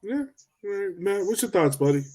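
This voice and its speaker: A man's voice: sits high, lively intonation, moderate pace, a little mellow. A man hosting a casual sports podcast, upbeat and loose, laughing easily with his co-hosts. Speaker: podcast host.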